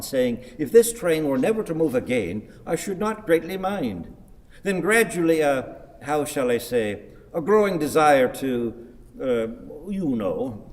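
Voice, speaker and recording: An actor playing a grumpy, long-winded old husband in a radio play, with ponderous, pedantic, theatrical delivery. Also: male voice, medium pitch, big-room sound. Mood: brooding recollection. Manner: declamatory, ponderous, wordy.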